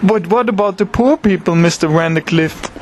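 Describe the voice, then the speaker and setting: An actor playing a mild, honest small-town butcher, polite and earnest, in an audio drama. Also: male voice, high voice, normal speed, slight background noise, lightly acted. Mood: troubled. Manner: courteous, earnest.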